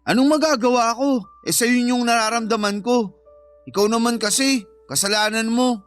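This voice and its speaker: A man's voice: very high-pitched, steady tone, normal speed, fairly bright tone, smooth, soft background music. An actor playing a jealous, sulky young father in a radio drama, accusing and whiny, with a Filipino accent. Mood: jealous, defensive.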